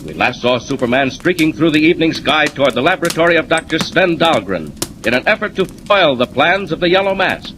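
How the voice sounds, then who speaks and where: A male announcer narrating in dramatic 1940s radio style, emphatic and suspenseful. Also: mid-range voice. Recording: noisy vintage 1940s radio recording.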